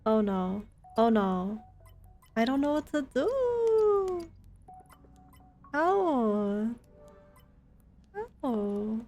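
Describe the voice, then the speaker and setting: A woman's voice: fairly high pitch, big pitch swings, very slow, long pauses, mellow, faint music behind. A woman chatting informally and playfully with viewers while she streams a video game. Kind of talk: casual live gaming chat with viewers.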